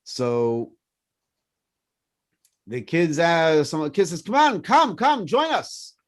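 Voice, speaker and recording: A man in his fifties whose first language is French gives a morning class. His voice rides a little high and swings up and down, and he works through personal stories and questions he asks back to his listeners. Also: slow pace. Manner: animated teaching with personal stories and repeated questions. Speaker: rabbi.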